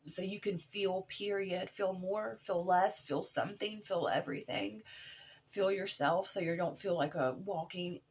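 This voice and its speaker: A woman in her thirties with a cold and a sore throat, talking haltingly in a personal video diary, full of fillers and restarts. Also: lowish voice, slightly rough. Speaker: vlogger.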